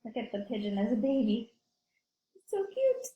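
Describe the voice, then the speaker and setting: Lady teacher doing a kids read-aloud, high lively voice, asks questions, bit echoey. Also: moderate pace, dull audio. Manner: simple, expressive, child-directed explaining. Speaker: teacher reading aloud to young children.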